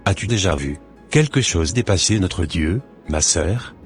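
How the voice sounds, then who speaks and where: Deep-voiced church elder preaching in a cartoon, calm and admonishing, a man voicing him. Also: faint music behind. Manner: slow, sermonizing, gently admonishing.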